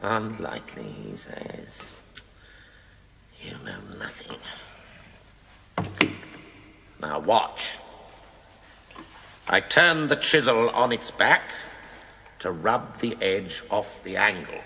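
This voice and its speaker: Sly, put-upon stonemason, sardonic stage delivery, highly animated pitch, reverberant hall with slight noise. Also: male voice, slow, gravelly, pauses often, heavily acted. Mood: scornful, brooding. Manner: sardonic, mock-deferential, theatrical phrasing. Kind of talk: theatrical television play dialogue. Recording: echoey hall, faint noise, 1980s TV recording.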